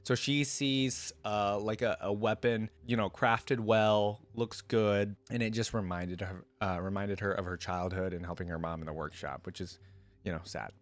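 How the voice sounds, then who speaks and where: A man's voice: fairly low pitch, steady tone, moderate pace. A man hosting a reaction video, chatting casually as he comments on game lore and abilities.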